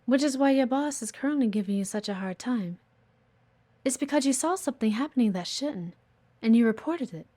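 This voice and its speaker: High-voiced, animated bossy office woman, acted by a woman. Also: brisk. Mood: earnest, admiring. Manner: exasperated, assertive, frequent sighs. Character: bossy, blunt female executive assistant, guarded but earnest. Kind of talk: audio roleplay acting addressed to the listener.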